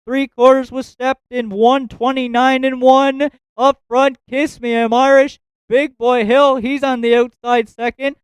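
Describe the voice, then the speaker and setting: A man working as a track announcer, calling a live harness race with rapid, rhythmic listing of positions and times. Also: very high-pitched, clear, resonant tone, no echo, rough recording.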